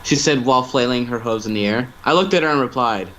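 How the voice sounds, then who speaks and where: Male amateur narrator, mid-pitched, stumbles and rereads lines, light background noise on a livestream mic. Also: quick pace, fairly bright tone, poor audio. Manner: stumbling over words and rereading phrases. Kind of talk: amateur story reading.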